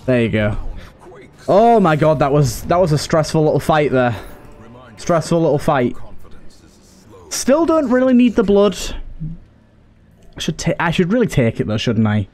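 A man's voice: fairly high pitch, big pitch swings, moderate pace, pauses often, a little mellow. A man giving casual let's play commentary as a gaming host, talking to himself and to the characters on screen. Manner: talks to himself and to game characters.

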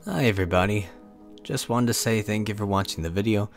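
Very low-voiced sick man chatting. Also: fairly quick. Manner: apologetic, low-key. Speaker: video creator. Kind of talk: casual outro chat. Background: music.

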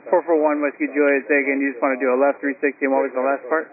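A man's voice: medium pitch, little pitch movement, rapid-fire, crowd noise. A man working as an air traffic controller, talking informally and accommodatingly to pilots over aviation radio. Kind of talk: radio communication. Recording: narrow tinny aviation radio transmission.

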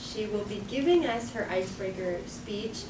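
A woman acts as emcee and introduces the next speaker at a club meeting. She is brisk and cordial in manner. Her voice comes from far across an echoey room, with loud background noise throughout. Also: mid-range voice, expressive. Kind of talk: formal introduction. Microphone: far camera. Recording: distant, echoey and noisy.

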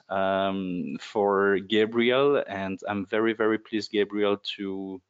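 A man in his forties moderating a webinar on a video call through a headset, with frequent filler sounds. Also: fairly low pitch. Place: indoor room, video call. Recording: dull lo-fi video call audio.

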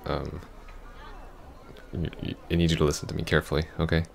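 A man playing a heartbroken, apologetic ex-boyfriend in an intimate ASMR roleplay, soft-spoken, halting and stammering. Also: extremely low pitch, flat delivery, creaky, some chatter behind, slight background noise, exaggerated voice. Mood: tentative, sad.

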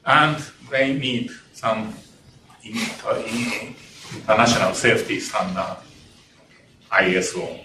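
Older male engineering lecturer, low voice, non-native English phrasing, heard far off in an echoey hall. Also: slow, pauses often. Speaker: engineering professor giving a lecture. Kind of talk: academic lecture. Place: lecture room. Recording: roomy, distant lecture hall capture with faint room noise.

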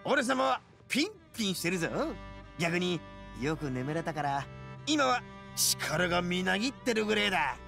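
A man voicing a brash young oni gang boss in an anime game, boisterous and cocky, with rough, swaggering, slangy speech. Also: sits high, big pitch swings, moderate pace, bright, forceful tone, faint music behind, exaggerated voice. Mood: cocky, excitable.

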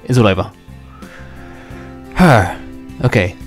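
A young man with a lowish voice talks through a game in a loose, rambling way, often restarting and chuckling at himself. He sounds a little worn, as if he is under the weather. Faint background music plays beneath his voice. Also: big pitch swings, slow pace. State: unwell, slightly worn voice. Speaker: gaming channel host. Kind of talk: casual gameplay commentary.

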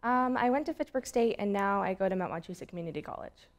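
Earnest young woman, mid-pitched. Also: expressive, fast. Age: young adult. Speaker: student interviewee. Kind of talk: documentary interview answers. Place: studio with plain backdrop.

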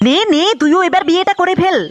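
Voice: very high voice, fairly even intonation, fast, highs cut off, a loud crowd. An actor voicing a bald, aging bachelor in a comedy cartoon, chatty and teasing, with a processed cartoon voice. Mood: nosy, teasing. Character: older bald bachelor man, teasing village gossip. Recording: loud music behind voice.

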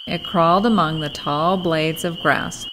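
A woman reading a storybook aloud to young children in an expressive, deliberate way. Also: low-pitched, background noise. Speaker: storybook reader. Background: music and sound effects.

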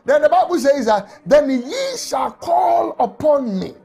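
A man preaching an emotional sermon from a small office on a lapel mic, often shouting and crying out. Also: very high-pitched, big pitch swings, measured pace, creaky, soft background music. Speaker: pastor.

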